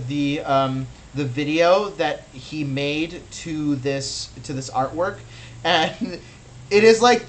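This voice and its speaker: A man's voice: a little high, slight background noise, rough recording. A young American man, a native Russian speaker, guiding a virtual gallery tour in a chatty, enthusiastic way with many fillers. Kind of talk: casual guided tour.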